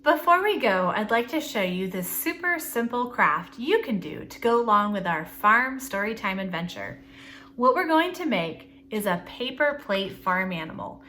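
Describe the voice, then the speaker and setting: A woman presents a storytime for small children in a bright, forward voice. Her pitch sits in the middle for a woman, and she talks in simple, expressive phrases aimed directly at her young audience. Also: normal speed, a little hiss or hum.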